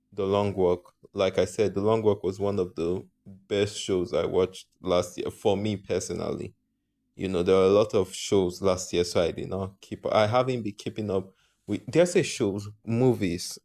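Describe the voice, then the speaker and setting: Brief: deep-voiced man from Africa, vlog host, loose and rambling, trips over himself. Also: darkish tone. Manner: rambling, hesitant, often corrects himself. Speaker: channel host. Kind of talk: casual opinion vlog.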